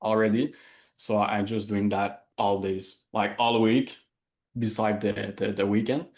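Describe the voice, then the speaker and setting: A man from Quebec with a Quebec French accent, a native French speaker, answering interview questions in English and often pausing to search for words. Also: fairly low pitch, level tone, darkish tone. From Quebec, Canada. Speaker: interview guest. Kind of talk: casual interview.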